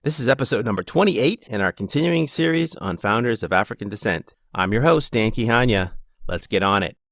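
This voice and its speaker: Male podcast host, low-pitched, upbeat questions, dry booth sound. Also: animated, fairly quick, a bit bright, no echo. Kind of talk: podcast interview.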